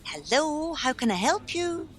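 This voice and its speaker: A woman's voice: sits high, big pitch swings, measured pace, slight background noise. A woman playing a flustered, well-meaning helpline office worker in a naturalistic radio play, speaking hesitantly. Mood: polite.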